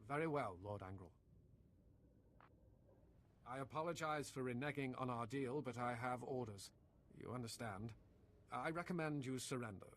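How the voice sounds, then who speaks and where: An actor playing a principled male Imperial agent in a game who speaks in a measured, formal, polite way. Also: mid-range voice, animated, a little hiss or hum, natural voice. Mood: composed.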